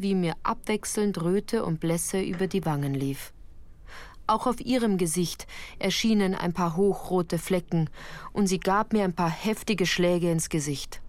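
An actress playing a sensitive woman recalling her youth, narrating a literary radio drama in a measured, reflective way. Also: on the low side, close to an everyday voice. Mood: reflective, uneasy.

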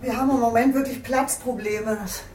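A woman's voice: a little high, steady tone, brisk, close to an everyday voice. Actress as a casual female colleague, noisy backdrop. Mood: apologetic, sympathetic. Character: sympathetic, informal female police colleague. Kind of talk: naturalistic radio crime drama acting. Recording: clearly noisy ambience behind voice.

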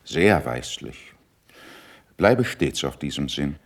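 An actor playing a cool, cautious, middle-aged statesman in a classical German verse radio play, measured, dry and admonishing. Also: a bass voice, big pitch swings, heavily acted. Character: cool, worldly, cautious older statesman, sceptical and superior.

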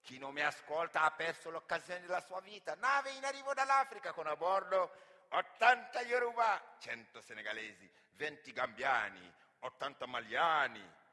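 A man playing a brash slave-auction barker in a stage monologue, declaiming like a booming showman. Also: expressive, normal speed, sharp tone. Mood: loud, hawking. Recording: echoey hall with long reverb.

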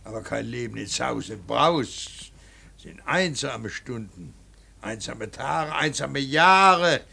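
An actor playing a stern, devout older painter and father in a radio play, halting and brooding, then preaching with emphasis. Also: mid-range voice, expressive, bright, forceful tone, heavily acted. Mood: fervent brooding. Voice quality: gravelly, husky. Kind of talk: German radio play acting.

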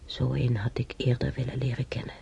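Very husky, gravelly elderly woman. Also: very deep voice, little pitch movement, fairly quick, mellow, heavily acted. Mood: weary, musing. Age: older. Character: weary elderly German-born former housemaid, sick and timid. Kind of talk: naturalistic radio drama monologue and dialogue. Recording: dull, muffled radio play recording.

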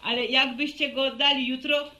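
A woman's voice: high voice, steady tone, moderate pace, bright, forceful tone, a little hiss or hum. An actress playing a worrying, hospitable peasant mother in a radio drama, speaking in a homely, folksy way. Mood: homely, polite. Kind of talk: Polish radio serial acting.

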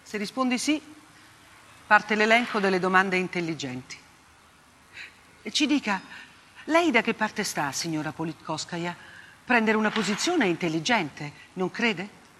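An older actress playing a haunted, bitterly ironic woman journalist in a theatrical radio monologue, speaking deliberately and emphatically. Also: mid-range voice, lively intonation, bright, forceful tone, slight background noise. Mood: biting, mocking. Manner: emphatic, deliberate delivery with rhetorical repetition. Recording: music bed behind the voice. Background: plucked guitar-like music.

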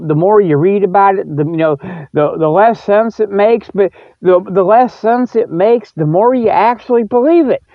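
A man's voice: sits high, no room sound. A man hosting a podcast, rambling casually in a folksy, repetitive, stop-and-start way. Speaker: podcast host. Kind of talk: casual rambling solo talk-show monologue. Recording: narrow, phone-like sound.

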